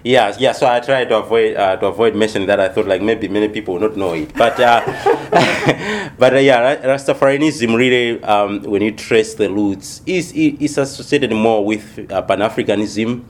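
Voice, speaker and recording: A man from Malawi, a missionary church planter, answering interview questions in a thoughtful, unhurried way with many pauses. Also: lowish voice, animated, slight background noise.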